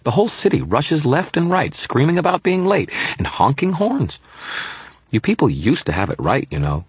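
A man voicing a tiny, childlike male fairy with a high little-kid voice, haughty, greedy and full of indignant complaint in an audiobook story. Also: very animated, normal speed, breathy edge, dry recording, exaggerated voice. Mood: complaining. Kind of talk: audiobook character voice.

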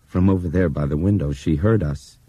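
A man voicing an uneasy, skeptical adult man with a deep, husky voice. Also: fairly quick, mellow, dry recording. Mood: shaken.

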